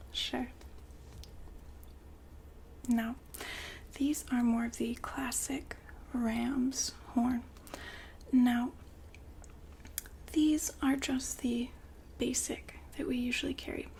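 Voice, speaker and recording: A woman whispering softly and breathily in an ASMR roleplay, playing a gentle, attentive shop consultant. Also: sits high. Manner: gentle, attentive customer-service patter. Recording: faint background noise and ambience.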